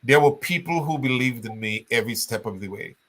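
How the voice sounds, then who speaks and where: An older man speaks as an interview guest. His voice is bright and forceful. He tells his story in an animated way, often restarting his sentences. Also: medium pitch, fairly quick. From Trinidad.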